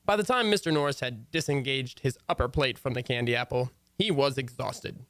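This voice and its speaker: An actor playing an easygoing, wisecracking husband with a wry, hesitant manner in an old-time radio comedy. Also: male voice, mid-range voice, fairly quick, fairly bright tone, no room sound, natural voice. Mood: wry, rueful. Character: easygoing, wisecracking husband narrating his marital troubles.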